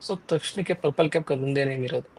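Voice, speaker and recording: A man's voice: on the high side, racing, darkish tone, husky. A man with a South Indian Kannada accent chatting casually in mixed Kannada and English. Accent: Kannada-speaking South Indian. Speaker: cricket show panelist. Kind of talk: casual chat.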